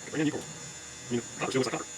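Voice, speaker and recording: A man's voice: medium pitch, very fast. A man giving casual, hands-on repair commentary in fragmented remarks, half muttering to himself as he works. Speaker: radio restorer hosting a hands-on repair video. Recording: steady mains hum and loud background noise.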